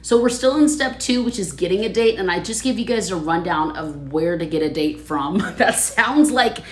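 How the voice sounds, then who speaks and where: A woman's voice: lowish voice, expressive, moderate pace. Chatty woman livestreaming in an echoey room. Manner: chatty, laughs often, reads viewer comments aloud. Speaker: livestream host. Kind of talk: casual livestream chat with viewers.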